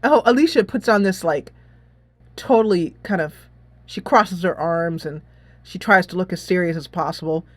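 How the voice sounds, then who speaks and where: A woman hosting a let's play, chatting in expressive asides as she reads along with the game. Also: fairly low pitch, moderate pace, no room sound. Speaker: gaming channel host. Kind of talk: let's play commentary, reading game dialogue aloud.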